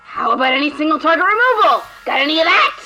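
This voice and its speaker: A woman's voice: high-pitched, big pitch swings, fast talker, sharp tone, faint music behind, heavily acted, rough recording. A woman playing a cocky, mischievous adventurer in an audio drama, taunting and theatrical. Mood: gloating. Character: cocky, mischievous female card-playing adventurer, sharp-tongued.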